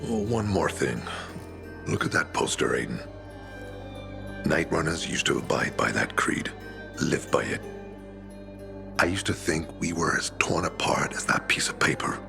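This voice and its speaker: An actor playing a weathered older rebel radio broadcaster in a video game, gravelly and persuasive, with a measured, dramatic delivery. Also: male voice, lively intonation, full character voice. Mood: earnest, persuasive. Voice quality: gravelly, very deep. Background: music.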